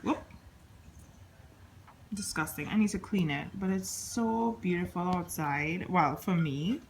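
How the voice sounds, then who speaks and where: A woman's voice: on the low side, takes it slowly, slight background noise. A young woman from Trinidad and Tobago chatting casually into her phone, exclaiming often as she vlogs at home. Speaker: vlogger. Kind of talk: casual vlog chat. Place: at home, indoors and in the yard.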